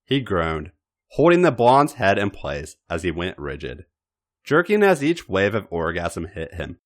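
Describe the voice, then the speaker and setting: Male narrator, low pitch, highly animated, theatrical, drawn-out dramatic story reading. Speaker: podcast host and story reader. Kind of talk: dramatic scripted narration of erotic fiction.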